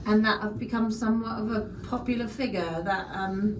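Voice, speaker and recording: A woman's voice: medium pitch, fairly even intonation, a little fast, noisy background, pitched below the performer's own voice, lightly acted. Woman as a flustered alien commander in echoey room. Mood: flattered, hesitant. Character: modest, flustered alien starship commander, an eel-like hybrid. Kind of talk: improvised tabletop roleplay. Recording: echoey room, distant mic, group chatter.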